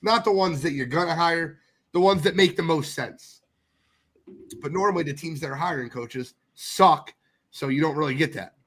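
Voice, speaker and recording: A man co-hosts a casual football podcast and sounds congested from a sinus infection. He speaks bluntly, swears now and then, and ribs the others. His voice sits a little high for a man. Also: normal speed, fairly bright tone, slight background noise. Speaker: podcast co-host. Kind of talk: casual sports podcast chat.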